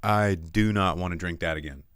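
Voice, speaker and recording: Low, smooth-voiced American man. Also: normal speed, no echo. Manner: animated, opinionated ranting, repeating words for emphasis. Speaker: host of a live film talk show. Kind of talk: casual livestream chat with viewers.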